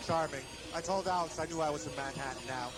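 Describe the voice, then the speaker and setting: Higher-voiced man narrating over loud music. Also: quick pace. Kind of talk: personal audio diary narration.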